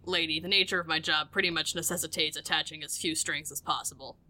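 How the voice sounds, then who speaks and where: Woman playing a matter-of-fact male ranger boss, bright-edged. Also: low voice, fairly even intonation, fast, pitched below the performer's own voice, rough recording. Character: composed male forest ranger director, dry and matter-of-fact.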